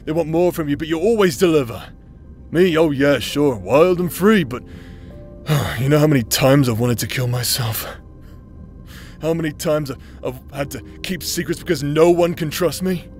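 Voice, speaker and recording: A man's voice: a little high, natural voice. A man playing a drunk, wild young rogue who talks in a rambling, stammering, emotional way in a fantasy audio roleplay. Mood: fond, earnest. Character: drunk, wild, self-loathing young male rogue in love. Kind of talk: anime-style fantasy audio roleplay acting. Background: music bed.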